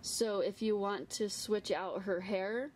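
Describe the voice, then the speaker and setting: A woman's voice: mid-range voice, slow pace, darkish tone. A woman co-hosting a toy unboxing, chatting casually and describing each piece with lots of "like" and "little". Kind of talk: casual chat. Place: indoor tabletop filming setup.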